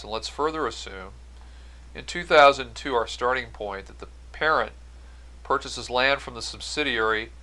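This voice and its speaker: A man's voice: mid-range voice, bright, forceful tone, rough recording. A man giving an explanatory accounting-style lecture as an instructor, going step by step and stressing key phrases. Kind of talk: explanatory lecture over on-screen notes.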